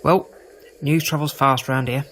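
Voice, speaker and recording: An actor playing a prejudiced, chatty market-stall trader in an audio drama, rambling in a folksy, defensive way. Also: male voice, medium pitch, fairly even intonation, a little slow, fairly bright tone, a little hiss or hum, natural voice. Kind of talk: full-cast audio drama acting.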